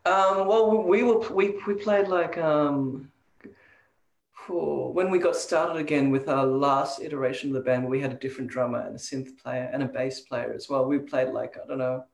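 An Australian musician in a casual interview, talking with hesitant, repeated words and frequent laughter. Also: male voice, mid-range voice, steady tone, moderate pace, gravelly. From Sydney, Australia. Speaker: band member guest. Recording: roomy echoey sound.

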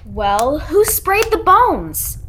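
A woman's voice: high-pitched, very animated, very slow, heavily acted. A woman playing an assured doctor in an old-time radio mystery, explaining lab findings matter-of-factly. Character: assured scientific doctor reporting lab results. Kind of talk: 1940s radio drama acting. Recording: faint background noise and room ambience.

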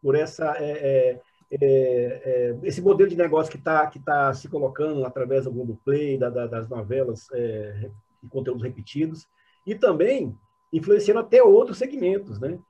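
A Brazilian man giving spontaneous academic commentary as a panelist, halting and repeating words as he searches for phrasing, over dull online call audio. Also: mid-range voice, expressive, dark tone, breathy edge.

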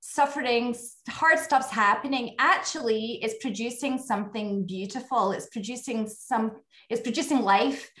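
A woman's voice: fairly high pitch, moderate pace, few pauses, pushed and bright, reverberant. A woman from Scotland with a Scottish accent, a guest reflecting thoughtfully in a casual interview, with frequent fillers and restarts.

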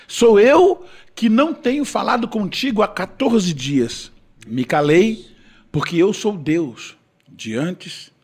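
A man voicing God speaking through a missionary, in a grave, measured way. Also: sits high, breathy edge, full character voice.

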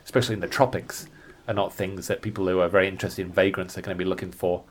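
Male podcast guest, tour guide type, lowish voice, conversational, thinks out loud. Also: fairly even intonation, racing.

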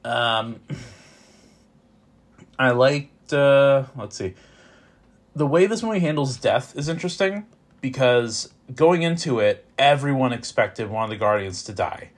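A man reviewing films in casual, unscripted talk, opinionated and informal, with a halting delivery that repeats words as he goes. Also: mid-range voice. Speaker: film reviewer.

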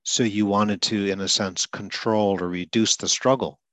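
An older man who stutters a little himself, calmly explaining ideas to a client in an interview. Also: on the low side, no room sound. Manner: explains slowly and deliberately, stutters lightly himself. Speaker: speech coach interviewing a client. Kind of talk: calm conversational interview.

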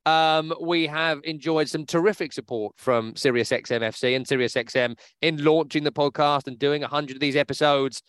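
A man's voice: a little high, normal speed, hardly any pauses, a bit bright, clear, resonant tone, no echo. A man hosting a football podcast, talking in a chatty, upbeat way with many fillers. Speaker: podcast host. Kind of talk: casual podcast chat. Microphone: remote podcast mic.

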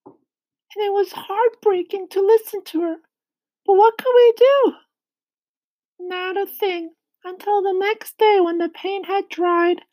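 Tiny squeaky, fretful lady spider. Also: steady tone, darkish tone, low-quality recording. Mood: mournful. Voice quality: squeaky, very high falsetto. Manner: dramatic, drawn-out lamenting. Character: tearful, fretful female spider, squeaky and dramatic. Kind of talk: children's storybook character voice.

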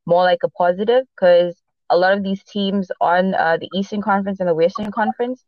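A woman co-hosting a sports podcast, speaking with a mixed Portuguese, South African and American accent. Portuguese is her first language, and she talks in a halting way with many fillers. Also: mid-range voice, steady tone, no room sound, highs cut off. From mixed upbringing: Boston, Los Angeles and South Africa. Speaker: podcast co-host. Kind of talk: casual sports podcast chat.

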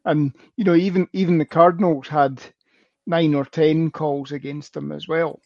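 Man, slightly high, dry dull sound, rambling sports chat. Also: steady tone, moderate pace, gravelly, dry recording. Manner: rambling, many fillers. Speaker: podcast co-host.

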